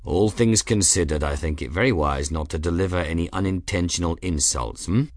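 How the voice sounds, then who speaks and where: A man voicing a grave, courteous male airship captain in an audiobook, formal and measured. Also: low-pitched, no echo, clean studio sound, voice pushed down. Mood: dry warning.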